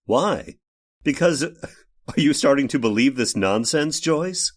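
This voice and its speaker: Man playing a slow, haunted radio horror narrator. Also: mid-range voice, expressive. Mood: pleading, agitated. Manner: halting, haunted, dramatic pauses. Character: anguished man turned vengeful robot, narrating his horror tale. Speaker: narrator and lead actor. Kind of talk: old time radio horror acting.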